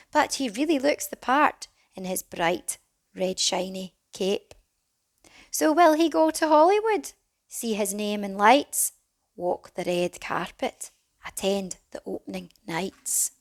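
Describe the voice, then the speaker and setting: A woman reading a rhyming children's storybook as a teacher would, dramatic and animated, with some hushed phrases. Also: a little high. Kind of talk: expressive children's storybook narration in rhyme.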